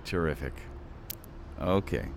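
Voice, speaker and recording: An actor playing an anxious middle-aged government official, halting, sardonic and foul-mouthed in a naturalistic audio drama. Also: male voice, a bass voice, gravelly, noisy background, heavily acted. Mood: strained politeness. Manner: halting, flustered, profane, sardonic.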